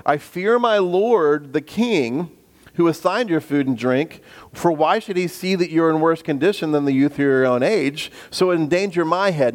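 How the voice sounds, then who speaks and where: A man preaching a sermon in a deep bass voice, earnest, slowing down to stress his points and acting out the voices of biblical figures. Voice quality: bass voice at the moment. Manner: acts out the voices of biblical figures when quoting them. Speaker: pastor. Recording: long reverb of a hall.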